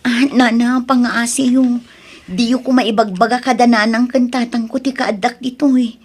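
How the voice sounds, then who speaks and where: A woman's voice: a little high, fairly even intonation, moderate pace, a little mellow, breathy edge. A young woman playing a remorseful daughter with a secret, speaking in a hesitant, pleading way in an audio drama. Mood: nervous, evasive.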